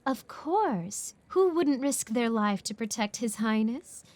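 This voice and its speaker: A woman playing a flattering, sly noblewoman in a dramatic audio role play, effusive and affectedly polite. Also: fairly high pitch, takes it slowly, no echo. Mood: gushing, flattering.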